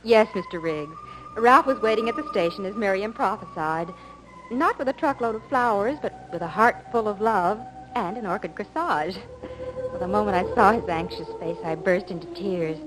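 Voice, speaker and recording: A woman's voice: mid-range voice, moderate pace, over music, natural voice, poor audio. An American woman acting a sentimental, emotional young heroine in a 1950s radio soap. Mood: tender, happy.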